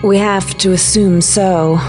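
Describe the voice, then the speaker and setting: A female actor playing a solemn, composed leader of secret agents in a video game, speaking in a measured, formal way. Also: mid-range voice, fairly even intonation, darkish tone, natural voice. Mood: matter-of-fact. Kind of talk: video game briefing dialogue. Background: music.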